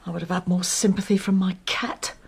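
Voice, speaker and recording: Husky grieving mother. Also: on the low side, level tone, a little fast, heavily acted. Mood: bitter, suspicious. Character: sharp, suspicious widowed mother, grieving, no-nonsense. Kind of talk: radio drama acting.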